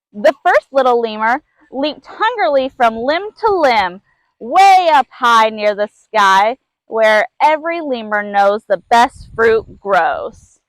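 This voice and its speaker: Female storybook narrator, high-pitched, reading aloud for children, recorded dry. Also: no room sound, low-quality recording. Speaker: zoo keeper reading a story.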